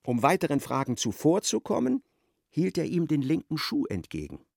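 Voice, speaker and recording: A man narrating a radio play in an expressive, literary storytelling style. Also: a little high, moderate pace, creaky, dry recording, clean studio sound.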